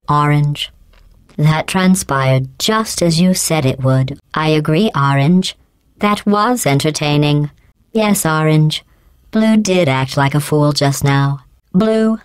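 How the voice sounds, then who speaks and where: A cold, sarcastic female AI in a video game, calmly handing out insults in a deadpan, condescending tone. Her voice sits low for a woman and sounds smooth and resonant, with a synthetic computer sheen. The recording is dry and close, with no room sound at all. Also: no echo. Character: cold sarcastic female AI running test chambers.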